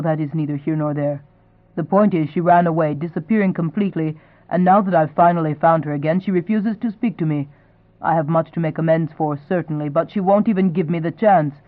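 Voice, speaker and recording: A woman's voice: deep voice, brisk, natural voice. A woman voicing a young man who speaks earnestly and with regret. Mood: troubled. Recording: tinny, phone-like sound.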